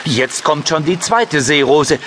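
A man's voice: on the high side, animated, slow pace, noisy background. A man reading scripted narration for a children's audiobook.

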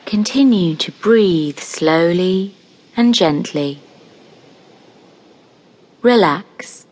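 A woman narrating a scripted guided meditation in a soothing, gentle manner, leaving long pauses between short phrases. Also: lowish voice, animated.

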